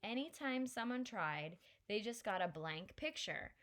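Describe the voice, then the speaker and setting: A woman's voice: mid-range voice, lively intonation, a little slow, a bit bright, voice pushed up. A woman reading aloud and voicing a blunt, wry Filipino grandmother in a slightly raised, matter-of-fact voice. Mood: storytelling. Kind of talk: audiobook character reading.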